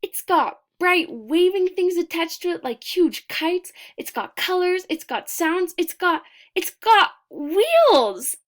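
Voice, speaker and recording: A woman reading a children's picture book aloud expressively, deliberate in her delivery, with dramatic pauses and emphasis. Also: very high-pitched, very animated.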